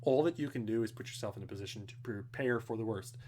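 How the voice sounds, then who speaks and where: A man's voice: lowish voice, fast, darkish tone, slight background noise. A young man, rambling casually through technical viewer questions at home, swearing now and then. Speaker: streamer answering viewer questions. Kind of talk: casual live Q&A. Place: home, indoors.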